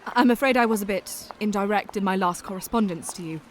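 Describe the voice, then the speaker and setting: An actress playing a gentle, modest young Englishwoman in an audio drama, speaking tenderly and earnestly. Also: medium pitch, fast talker, slight background noise, lightly acted. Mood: worried. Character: gentle, worried young woman, modest friend.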